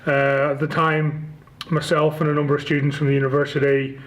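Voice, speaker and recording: A man's voice: a little high, monotone, brisk. A man in his forties with a Northern Irish accent, giving casual interview answers as a guest, with hesitant, stop-start phrasing. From Northern Ireland. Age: 40s. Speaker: interview guest, rugby league club chief executive.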